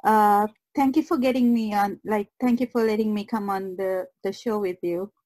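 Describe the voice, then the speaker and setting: A woman guest chatting casually on a podcast, halting as she searches for her words. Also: mid-range voice, fairly even intonation, darkish tone, no echo. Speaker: guest artist.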